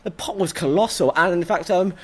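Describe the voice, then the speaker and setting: A hoarse man hosting a casual review chat, excitable and full of stammering restarts. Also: sits high, a little fast, slight background noise. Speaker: host.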